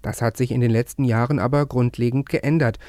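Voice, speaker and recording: A man presents a radio segment in a clear, steady voice. His delivery is scripted and even, and the studio sound is dry. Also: mid-range voice, brisk, no room sound.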